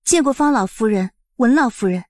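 A woman playing a poised young mother in an audiobook drama, polite but sharp-tongued, with a courteous, pointed delivery. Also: fairly high pitch, lively intonation, a little fast, no echo, clean studio sound.

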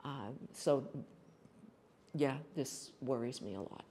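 An older woman on a forum panel, explaining policy in an earnest, measured way with some restarts, speaking into a table mic in a hall. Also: a bass voice, warm tone, a little hiss or hum. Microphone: table mic on a stand.

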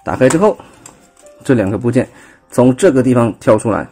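A man's voice: mid-range voice, lively intonation, fairly quick, dark tone, soft background music. A man with a Taiwanese Mandarin accent, casually explaining a toy transformation step by step with many fillers, recorded on a phone at a table indoors. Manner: explanatory, filler-heavy, pointing out parts step by step. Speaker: toy reviewer. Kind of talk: casual hands-on toy demonstration.

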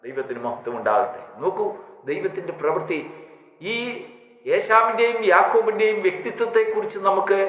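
A man's voice: high-pitched, a bit bright. A man preaching a devotional sermon, telling stories and exhorting emphatically in short, repeated phrases. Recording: echoey reverberant hall sound.

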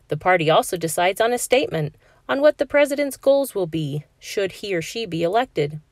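A woman narrating a children's picture book aloud in a clear, measured storytelling manner for a classroom. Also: medium pitch, no echo, clean studio sound.

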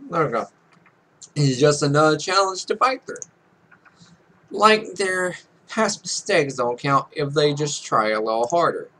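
Higher-pitched young man, offhand gamer commentary. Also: pushed and bright. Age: 20s. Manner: rambling, offhand asides. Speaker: let's play host. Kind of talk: casual gameplay commentary mixed with reading game text aloud. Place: small home room, indoors. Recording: noisy home recording.

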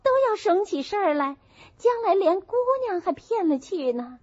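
A woman's voice: very high-pitched, expressive, normal speed, darkish tone, no room sound, voice pushed up. A woman voicing an outspoken young maidservant in an audiobook, indignant and insistent. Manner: insistent, complaining. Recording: muffled, dull audio.

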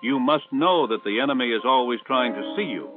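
An American man reading scripted instructional narration for a 1960s military training film in a measured, authoritative way. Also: medium pitch, lively intonation, music in the background. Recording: tinny band-limited 1960s film soundtrack.

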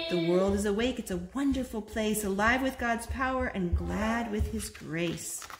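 A woman with a fairly high voice reads a children's picture book aloud. Her delivery is singsong and expressive, pitched for small children. The home recording is roomy, with clear background noise. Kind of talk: storytime read-aloud. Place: living room at home, on a sofa.

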